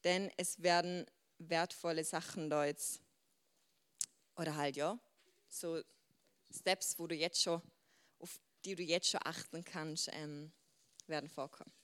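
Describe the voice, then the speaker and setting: A woman's voice: low voice, fairly even intonation, a little slow, long pauses, clear, resonant tone. Young Swiss German woman, informal group speaker. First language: Swiss German dialect. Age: 20s. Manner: chatty and informal, mixing in English words.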